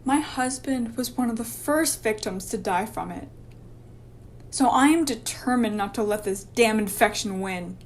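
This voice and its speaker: A serious female disease researcher acted by a woman in a radio drama. She sounds firm and determined, with a fairly high voice over a slightly noisy recording. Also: unhurried, close to an everyday voice. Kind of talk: amateur radio drama dialogue.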